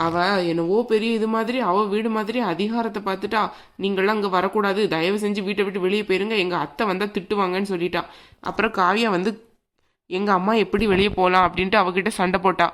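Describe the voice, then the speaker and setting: A gentle, peacemaking grandmother in a family drama, acted by a woman, with a medium-pitched voice. She speaks in a homely, colloquial and soothing manner. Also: steady tone, quick pace, close to an everyday voice. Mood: confiding, placating. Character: gentle, peacemaking grandmother, mother of a grown daughter.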